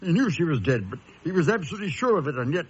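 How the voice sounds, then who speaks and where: An actor playing a genteel former teacher who tells a sinister tale in a measured, suspenseful radio style. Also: male voice, fairly high pitch, big pitch swings, husky. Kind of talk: dramatic radio storytelling. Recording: dull lo-fi radio recording, music behind.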